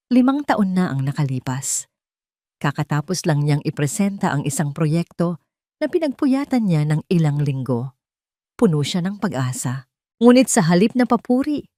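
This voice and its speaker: Low-voiced, expressive woman storyteller. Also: dry recording, studio quality. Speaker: story narrator. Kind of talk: dramatic scripted narration.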